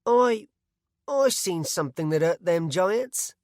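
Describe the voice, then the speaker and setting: A man voicing a nervous, smallish village man who stammers and talks in rough rustic grammar. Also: high voice, big pitch swings, unhurried, no room sound, studio quality, pitched above the performer's own voice, heavily acted.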